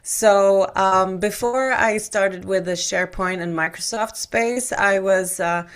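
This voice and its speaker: A woman's voice: mid-range voice, steady tone, slow. A middle-aged woman from Sweden with an American accent, chatting casually and candidly as an interview guest. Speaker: podcast guest, tech expert.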